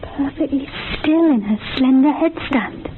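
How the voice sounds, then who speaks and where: An entranced, high-voiced poetic observer. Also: female voice, unhurried. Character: entranced woman poetically describing a still figure. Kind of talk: radio drama acting. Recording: muffled phone-like sound with faint hiss.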